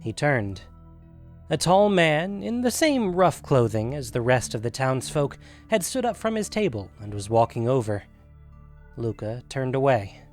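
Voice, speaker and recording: A man with a medium-pitched voice narrates a scripted fantasy story for a podcast. Faint music plays behind his reading. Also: lively intonation, a little slow, a little mellow.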